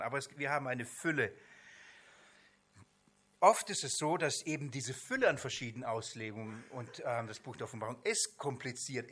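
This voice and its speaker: Forceful, bright-voiced man teaching a Bible seminar. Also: mid-range voice. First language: not German. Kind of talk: informal Bible seminar lecture.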